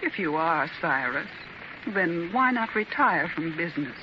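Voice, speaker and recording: A steady, encouraging wife. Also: female voice, fairly low pitch, measured pace. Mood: reassuring. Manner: soft-spoken, reassuring. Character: loyal encouraging wife, steady and gently teasing. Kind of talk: 1930s radio drama acting. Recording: dull hissy 1930s transcription disc.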